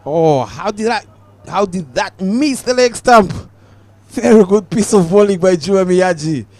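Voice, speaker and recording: A young Ugandan man giving live cricket commentary, calm and measured, lifting his voice on the big moments. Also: high-pitched.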